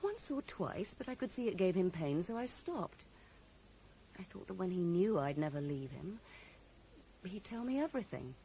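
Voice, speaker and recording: A young woman convalescing in an eighties radio drama. She is wistful yet defensive, with a mellow tone and widely moving intonation. The old broadcast recording is faintly noisy. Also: lowish voice, very animated, moderate pace. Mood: guarded. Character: loyal, defensive young woman in love, recovering in hospital. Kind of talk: 1980s radio drama acting.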